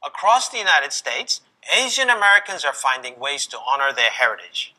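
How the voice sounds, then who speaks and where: A man's voice: a little high, moderate pace, poor audio. A middle-aged man born in Korea, hosting and presenting to camera while standing in a large museum gallery. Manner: lively, emphatic delivery with sweeping hand gestures. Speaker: host. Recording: music bed under the voice.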